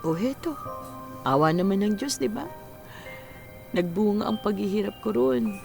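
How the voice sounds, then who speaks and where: A woman's voice: mid-range voice, expressive, moderate pace, vocal fry, a little mellow, soft background music. A woman acting in a Filipino radio drama as an earnest, wistful widowed mother in her forties, weary after working overseas. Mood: hopeful, worried.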